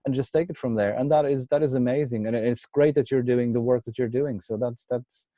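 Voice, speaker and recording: A man from Portugal with a warm, mellow voice hosts a relaxed chat. He laughs often and stumbles over repeated words. The sound is dry and close, with no room echo. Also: medium pitch, a little fast. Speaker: podcast host. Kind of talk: casual interview chat.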